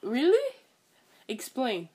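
A woman's voice: sits high, wildly swooping pitch, slow pace, dark tone, breathy edge. A woman interviewing her father, asking short, plain questions one after another. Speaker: interviewer, the man's daughter. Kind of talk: casual family interview.

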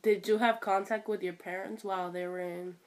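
A woman's voice: medium pitch, steady tone, fairly quick. A woman interviewing her father, asking short, plain questions one after another. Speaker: interviewer, the man's daughter. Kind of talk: casual family interview.

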